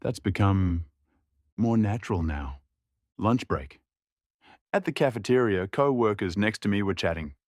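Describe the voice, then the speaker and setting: A young man narrates a scripted audio drama in a subdued, reflective inner voice. His pitch sits a little low, and the recording is close and dry. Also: expressive, a little slow, long pauses, darkish tone, dry recording.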